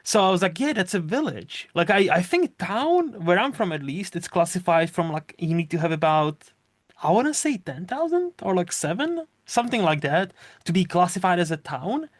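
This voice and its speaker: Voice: mid-range voice, very animated, no room sound. A podcast host, talking in a loose, chatty way that keeps wandering off on tangents. The voice sits in a middling register. It is recorded close and dry, with almost no room sound. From Czech Republic. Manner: chatty, digressive, quick to laugh. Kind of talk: casual chat.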